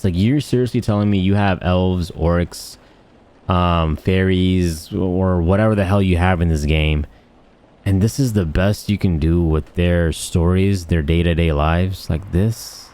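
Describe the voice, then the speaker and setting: A man's voice: sits low, darkish tone. A man giving casual, unscripted gaming commentary in a blunt, rambling, slangy and crude way. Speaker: gaming video host.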